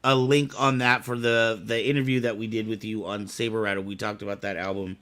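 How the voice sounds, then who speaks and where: A man's voice: lowish voice, fairly quick, clean tone, dry recording. A man chatting animatedly as a podcast co-host. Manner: animated, exclaiming. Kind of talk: casual chat.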